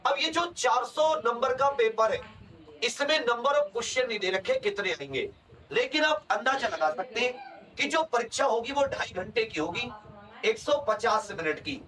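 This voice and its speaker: Male coaching teacher, very high voice, explanatory lecture, emphatic repetition, distant from the mic. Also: expressive, a little slow, pushed and bright. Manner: emphatic repetition of key points. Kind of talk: explanatory lecture to exam aspirants.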